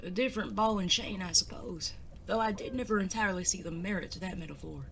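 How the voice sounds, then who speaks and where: A faintly noisy recording carries a woman playing a charming bandit son in a Western audio drama. The voice is bright and sits at a middling female pitch. The delivery is genteel and wordy, affectionate yet evasive, with some hesitation. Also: fast talker, gravelly. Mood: nervous.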